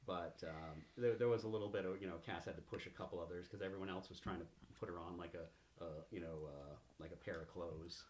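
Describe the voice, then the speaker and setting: A man narrating a casual tabletop game as game master, halting as he improvises his way along. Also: deep voice, a little mellow, reverberant, slight background noise.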